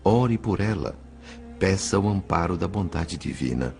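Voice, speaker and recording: An actor playing a compassionate spirit chronicler in a dramatized audiobook, narrating in an expressive, measured way. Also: male voice, sits low, vocal fry, faint music behind, exaggerated voice. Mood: consoling. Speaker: narrator and character.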